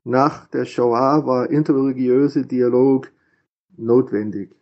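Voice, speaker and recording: Man, American accent, English native, rabbi, halting, mellow, muffled. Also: mid-range voice, fairly even intonation. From USA, Philadelphia. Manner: hesitant, many fillers and restarts. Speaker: rabbi, guest. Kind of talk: interview answers.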